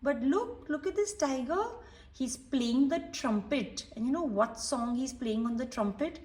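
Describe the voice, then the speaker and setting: A woman with a high, swooping voice reads a picture book to small children at an unhurried pace. She explains things in simple words and checks in with questions. She sounds a little way from the microphone in an echoey room. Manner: animated, explaining simply, asking the listener questions. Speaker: children's story reader. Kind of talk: storybook read-aloud with explanatory asides to young children. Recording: roomy echo, distant from microphone.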